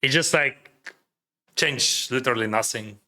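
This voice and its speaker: Ukrainian guy streaming poker, native russian, bright voice, chatty, lots of like and uh. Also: medium pitch, a little slow. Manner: chatty, advising, heavy use of "like" and "uh". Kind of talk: casual live-stream commentary, answering chat.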